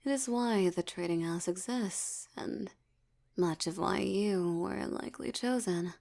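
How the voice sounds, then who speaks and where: Female vampire elder, acted by a woman, audio roleplay: seductive, menacing, languid delivery, creaky mid-pitched voice sinking into breathiness. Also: a little mellow. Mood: haughty, predatory. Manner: slow, teasing, menacing, with lingering pauses. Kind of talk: intimate scripted audio roleplay.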